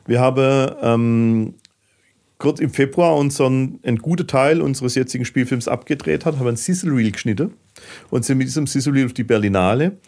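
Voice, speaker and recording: A man's voice: medium pitch, brisk. A man from southern Germany with a Swabian accent, talking casually as a podcast guest in a fidgety, storytelling way. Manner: fidgety, animated storyteller with dialect.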